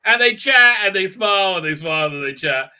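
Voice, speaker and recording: A man hosting a fan review livestream, giving a rambling, halting, sarcastic recap with repeated words and exasperated outbursts. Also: high voice, expressive, bright, forceful tone, clean tone. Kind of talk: casual mocking episode recap.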